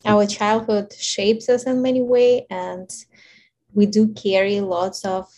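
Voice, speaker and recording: A woman with a Ukrainian accent speaks at an ordinary middle pitch. She sounds thoughtful and conversational as a podcast guest, and laughs often between her thoughts. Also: steady tone, slow, darkish tone. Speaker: guest, intimacy coach. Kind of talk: reflective conversational storytelling.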